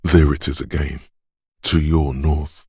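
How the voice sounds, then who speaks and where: An actor voicing a disembodied male inner sense of perception in a game, speaking in a hushed, measured, quietly descriptive way in the second person. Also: extremely low pitch, level tone, husky, no room sound, studio quality, exaggerated voice. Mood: hushed observant.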